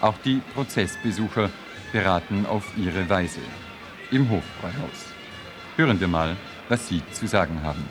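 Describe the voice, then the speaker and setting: A man's voice: low voice, animated, moderate pace. Man narrating a radio play over music.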